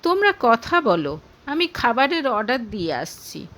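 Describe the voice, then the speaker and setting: A woman's voice: fairly high pitch, very animated, normal speed, fairly bright tone. A woman voicing a meek, placating young man in an audio story, defensive in manner. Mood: subdued. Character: meek, placating young man under his mother's thumb.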